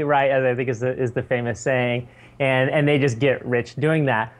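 A man joins a podcast by phone and explains things in a relaxed, conversational way. He keeps checking in with quick tag questions. His pitch sits in a middle range. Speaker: podcast co-host. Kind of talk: casual podcast chat.

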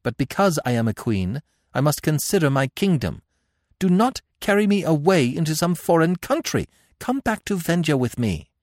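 A man's voice: fairly high pitch, very animated, normal speed, no room sound, clean studio sound, pitched above the performer's own voice. A man voicing a proud young captive queen in an audiobook adventure, imperious yet pleading and protesting. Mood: proud, faltering.

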